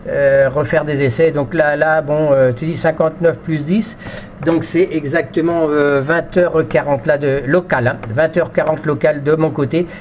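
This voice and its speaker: A man's voice: fairly high pitch, steady tone, moderate pace. A man in a casual technical chat as an amateur radio operator, often repeating himself and hesitating as he explains. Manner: repetitive, hesitant phrasing. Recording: muffled band-limited radio audio, faint noise.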